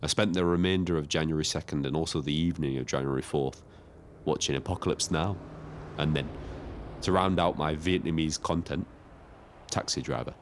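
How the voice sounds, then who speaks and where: An actor playing a lonely, reflective taxi driver in an audio drama, telling his story in a measured, literary way. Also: a bass voice, a little hiss or hum. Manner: wordy, literary, measured first-person storytelling. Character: Frank, lonely reflective male Brighton taxi driver and diarist. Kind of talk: scripted dramatic diary narration.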